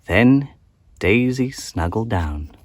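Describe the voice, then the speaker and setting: A man narrating a children's picture book aloud in an expressive, theatrical and at times hushed storytelling style. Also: lowish voice, takes it slowly, a little hiss or hum. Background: nature and insect sound effects.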